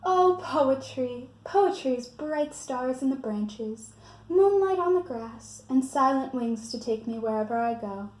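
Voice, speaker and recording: A woman plays a gentle, dreamy storybook owl who muses slowly. The voice is raised and mellow, with echo from the room. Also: sits high, lively intonation. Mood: dreamy, tender. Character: dreamy, gentle female owl in a picture book. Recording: roomy echo, faint background noise.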